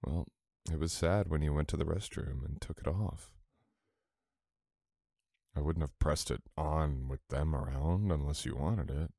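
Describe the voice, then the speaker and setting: Casting: male actor as teasing, affectionate boyfriend for ASMR roleplay; extremely low voice, close dry sound, soft unhurried murmur. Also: gravelly, slightly rough, no echo, heavily acted. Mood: warm.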